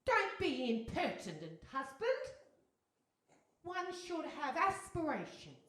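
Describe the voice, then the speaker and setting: A haughty, commanding royal wife played by a woman in live radio theatre. Her high voice swoops grandly and lands each order slowly and pompously, heard from a distance in an echoey hall. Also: huge pitch swings, fairly bright tone, heavily acted. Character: imperious, haughty fisherman's wife who rules as king. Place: hall, live stage performance.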